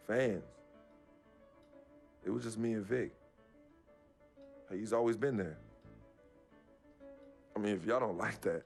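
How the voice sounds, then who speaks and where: A man's voice: lowish voice, gravelly. Young male athlete, earnest, defensive, loyal, casual street phrasing, game drama, music behind. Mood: earnest, pleading. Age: young adult. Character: young male basketball star, defensive, fiercely loyal to his friend. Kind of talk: naturalistic dramatic game cutscene acting.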